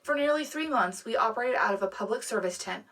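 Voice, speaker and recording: An actress playing a resourceful radio correspondent, articulate and dryly witty in scripted sci-fi banter. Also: female voice, on the high side, lively intonation, fast talker, fairly bright tone. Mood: wry. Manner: wry, articulate. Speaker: radio co-host.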